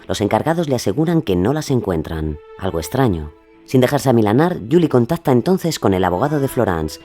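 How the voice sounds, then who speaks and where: Casting: male docu-podcast narrator, warm dark tone, animated intonation, scripted, over light music. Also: on the low side. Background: faint music.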